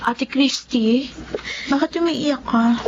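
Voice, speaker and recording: Caring Filipina schoolgirl sister, high-pitched, anxious, radio melodrama, muffled with noise. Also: slow pace. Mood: worried. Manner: concerned, earnest. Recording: muffled lo-fi audio, clear background noise.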